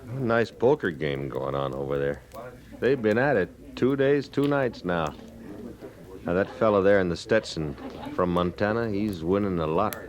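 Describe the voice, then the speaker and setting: An actor playing a sardonic, drifting ex-soldier and gambler in an old-time radio western, easygoing and needling. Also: male voice, low-pitched, expressive, moderate pace. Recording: old radio drama with crowd murmur. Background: saloon crowd sound effects.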